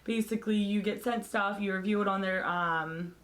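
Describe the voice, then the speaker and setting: A woman beauty vlogger talking casually to camera, hoarse as she loses her voice, candid and blunt in her opinions. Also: medium pitch, normal speed, a bit bright.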